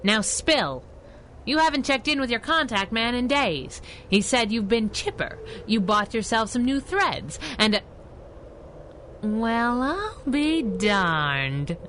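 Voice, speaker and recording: Brief: streetwise crimefighting sidekick, sassy and knowing, animated pitch, bright edge, old-time radio serial. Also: female voice, slow, slight background noise. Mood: playful, knowing. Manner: wisecracking, teasing, knowing. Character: sassy masked heroine, teasing, brash, streetwise young woman. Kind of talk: 1930s radio adventure serial acting.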